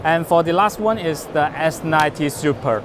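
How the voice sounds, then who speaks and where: Halting man demonstrating a product, noisy surroundings. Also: fairly high pitch. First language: not English. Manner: halting, hesitant phrasing with frequent uhs mid-phrase. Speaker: company representative presenting a product. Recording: clear urban or vehicle background noise.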